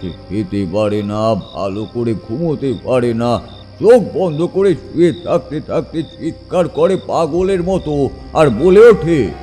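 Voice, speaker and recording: A man's voice: mid-range voice, expressive, unhurried, warm tone, soft background music. A man narrating a horror story dramatically as its storyteller.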